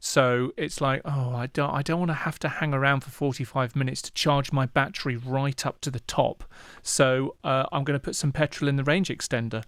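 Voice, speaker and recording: British man, clear dry podcast voice. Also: medium pitch, fairly even intonation, moderate pace, hardly any pauses, a bit bright, dry recording. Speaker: podcast co-host. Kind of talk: casual podcast chat.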